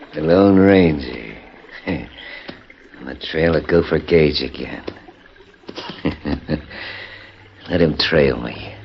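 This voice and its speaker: A cruel cowboy villain in an old radio Western. His voice is very low and he drawls out his threats slowly in rough frontier slang. The muffled old recording has faint noise. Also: heavily acted. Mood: gloating, menacing. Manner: gloating chuckles, rustic frontier slang. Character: cruel, gloating Western outlaw on horseback.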